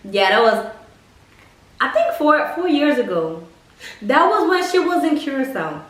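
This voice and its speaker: Echoey far mic, young woman vlogging, casual blunt storytime, swears a lot, expressive. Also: sits high, unhurried. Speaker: vlogger telling personal dating stories. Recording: echoey room, mic at a distance.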